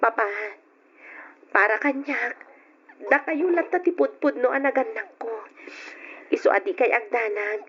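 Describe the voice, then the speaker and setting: A woman's voice: a little high, measured pace, long pauses, background noise, lightly acted. A young woman with an Ilocano accent playing a long-suffering daughter and wife in an emotional, pleading radio drama style. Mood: tearful, reassuring. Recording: dull lo-fi radio drama recording.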